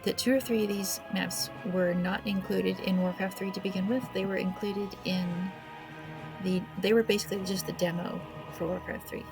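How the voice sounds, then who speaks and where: A woman's voice: medium pitch, fairly even intonation, moderate pace, gravelly, music in the background, low-quality recording. A woman hosting a gaming video with casual, awkward, self-deprecating commentary, speaking hesitantly. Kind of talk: casual let's play commentary.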